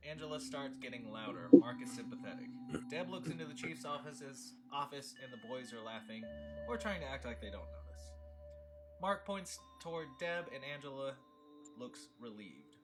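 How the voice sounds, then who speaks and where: Male narrator, mid pitch, scripted stage directions, table read, strong background music. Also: lively intonation, moderate pace. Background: clear background music.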